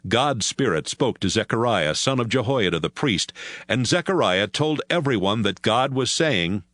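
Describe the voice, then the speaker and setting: A man narrating an audio Bible drama from a script in a measured storytelling manner. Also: fairly low pitch, lively intonation, fairly bright tone, no room sound.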